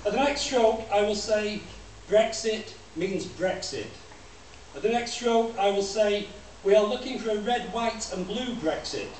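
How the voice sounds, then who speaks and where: A man gives a measured, declamatory live reading of a poem to a room. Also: high-pitched, expressive, a little mellow. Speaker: poet. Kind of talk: live poetry reading, repetitive deadpan recitation. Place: small library room with audience. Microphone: microphone on stand, captured by distant camera. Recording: echoey room from far off, noisy.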